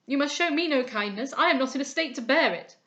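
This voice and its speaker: A woman's voice: high-pitched, animated, fast, bright, forceful tone, pitched above the performer's own voice. A woman voicing an anguished young man who speaks with urgency.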